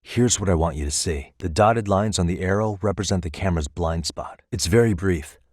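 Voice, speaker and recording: An American man voicing a level-headed, thoughtful detective in a video game, with measured, articulate delivery as he reasons aloud. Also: sits low, a little mellow, no room sound, clean studio sound. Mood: explaining, focused. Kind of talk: scripted game dialogue.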